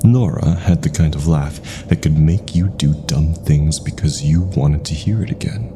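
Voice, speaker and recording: A man with a gravelly voice reading a scripted first-person horror story in a measured, brooding manner. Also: rumbling low, noisy background.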